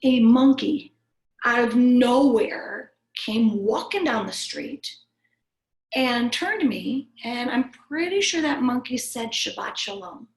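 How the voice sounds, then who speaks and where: A woman's voice: fairly high pitch, expressive, slow, roomy sound, low-quality recording. A woman cantor leading a children's story time, talking simply to young listeners and asking them questions.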